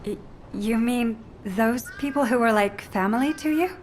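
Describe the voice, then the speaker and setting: An earnest young woman operative from a video game, voiced by an actress. Her voice is on the high side, polite and eager, with a caring way of speaking. Faint ambience sits behind it. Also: moderate pace, slight background noise, natural voice. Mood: earnest, respectful.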